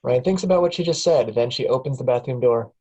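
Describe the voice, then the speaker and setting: A woman reads a screenplay's stage directions aloud at a casual table read. Her tone is mellow and dark, and she keeps breaking into laughs as she reads. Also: extremely low pitch, fast talker. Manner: laughs often while reading. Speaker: narrator reading stage directions.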